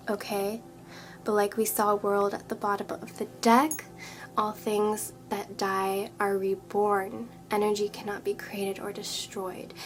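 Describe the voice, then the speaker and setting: A woman with a slightly nasal, congested voice giving a spoken tarot reading to her viewers, earnest and soothing, often dropping into a hushed whisper. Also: medium pitch. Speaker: tarot reader. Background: background music.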